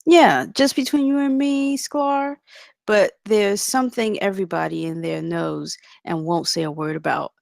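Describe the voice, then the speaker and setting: A woman's voice: mid-range voice, fairly even intonation, a little slow, gravelly, a little mellow, dry recording, studio quality. A woman reading the part of a brash, cynical male executive in a script table read, with a blunt, chummy manner. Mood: confiding, knowing.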